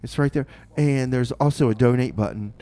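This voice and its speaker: A man's voice: medium pitch, fairly quick, mellow. A man hosting a community radio show, announcing casually and rambling, often repeating his phrases.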